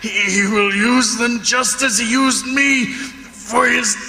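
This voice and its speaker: A man's voice: extremely high pitch, fairly even intonation, slow pace, gravelly, bright, forceful tone, full character voice. A man playing a frightened, desperate male prisoner in an old-time radio melodrama, stammering and pleading. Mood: anguished recounting. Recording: echoey hall, faint music behind.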